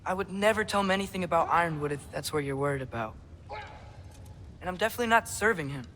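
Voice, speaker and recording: A man's voice: on the high side, lively intonation, fast talker, sharp tone, slight background noise. A young man playing an earnest, guarded teenage boy hero in a video game, hesitant and stammering when flustered. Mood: flustered, defensive. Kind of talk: naturalistic video game acting.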